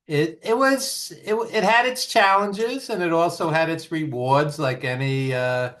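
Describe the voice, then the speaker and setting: A man's voice: fairly high pitch, unhurried, fairly bright tone. An older man from Brooklyn with a Brooklyn accent, telling rambling, nostalgic stories as an interview guest. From East Flatbush, Brooklyn, New York. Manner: rambling, nostalgic, with fillers and restarts. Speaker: guest, author and filmmaker. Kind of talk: casual storytelling in an interview.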